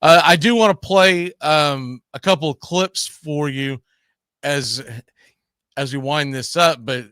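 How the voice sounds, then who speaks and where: A man's voice: a little high, takes it slowly, fairly bright tone, clean tone, no room sound. A man hosting a live baseball history show, chatting casually and often repeating and rephrasing as he goes. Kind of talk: casual sports chat with co-host.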